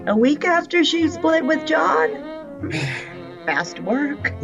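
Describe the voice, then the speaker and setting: A woman's voice: high voice, very animated, drawn-out words, gravelly, heavily acted. A woman playing a wry, teasing elderly retired superheroine in a fan-made drama, with a languid, sardonic delivery. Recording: dull lo-fi sound with music underneath. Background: music.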